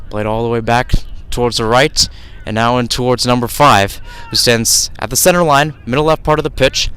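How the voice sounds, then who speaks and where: A man's voice: medium pitch, fairly bright tone, smooth. A male commentator with a mid-range voice calls a soccer match live for radio. He follows the play in brief, clipped phrases. He is speaking outdoors at the field.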